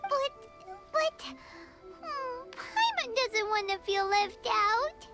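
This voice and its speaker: A woman's voice: very high voice, expressive, extremely slow, bright, forceful tone, heavily acted. A woman voicing a tiny, perky, cheeky childlike fairy companion in a video game. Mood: pouting. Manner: refers to herself in the third person, exclamatory. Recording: background music under the voice.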